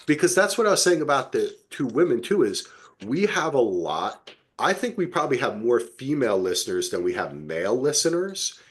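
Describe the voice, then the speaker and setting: A man's voice: medium pitch, sing-song, normal speed, husky. A man hosting a podcast, chatting casually and with enthusiasm. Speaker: podcast host. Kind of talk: casual chat.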